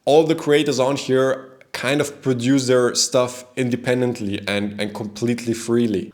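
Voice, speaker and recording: A young man from Austria, a non-native English speaker, sharing his story in an earnest, reflective vlog with frequent restarts. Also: medium pitch. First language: not English. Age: young adult. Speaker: video creator and freelancer. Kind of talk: reflective talking-head vlog.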